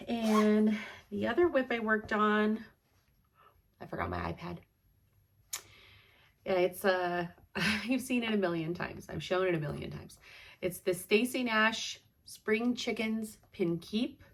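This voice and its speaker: A woman's voice: medium pitch, animated, slow pace. A stuffed-up woman in casual, rambling chat about her stitching projects, chatty and apologetic. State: congested, stuffed up. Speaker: cross-stitch vlogger.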